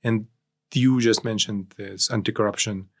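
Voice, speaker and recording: A man with a Ukrainian accent, a native Russian speaker, giving political commentary as an interview guest, haltingly searching for words and repeating phrases. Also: on the low side, steady tone, gravelly.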